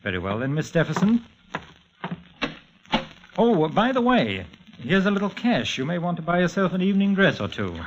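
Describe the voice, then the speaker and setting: An actor playing a formal, secretive government agent in a 1940s radio drama, explaining things in a businesslike way. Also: male voice, high-pitched, sing-song, moderate pace, long pauses, a little hiss or hum. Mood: brisk, courteous. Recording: dull, muffled old radio recording.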